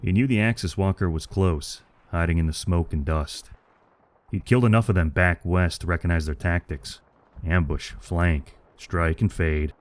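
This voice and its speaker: Low-voiced male war story narrator. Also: normal speed.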